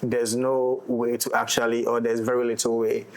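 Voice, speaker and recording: A man with a Ghanaian accent speaks in a casual roundtable style. His pitch is middling and stays quite even as he explains things reflectively, checking in with his listener as he goes. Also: moderate pace, low-quality recording. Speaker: audio drama director and writer in discussion.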